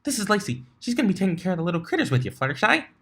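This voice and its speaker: Male reader as bubbly young female pony, high-pitched, eager, chatty, lively storybook character. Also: very fast, husky, exaggerated voice.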